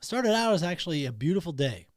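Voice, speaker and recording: A man's voice: on the high side, very animated, fast, no room sound. A man talking alone in a podcast studio, emotional and emphatic, often repeating himself. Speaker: podcast host. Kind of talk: personal monologue.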